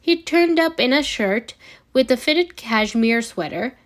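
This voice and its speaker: A woman narrates a written story as an amateur audiobook reader. Her voice sits a little high and she reads very slowly. The delivery is halting, with small stumbles over the words. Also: lively intonation. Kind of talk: scripted narration, story read aloud.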